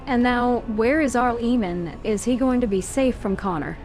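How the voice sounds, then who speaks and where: A woman's voice: fairly high pitch, normal speed, a little mellow, soft background music, natural voice. A woman voicing a blunt elven hero. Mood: direct. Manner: direct, questioning. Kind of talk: fan-voiced game protagonist.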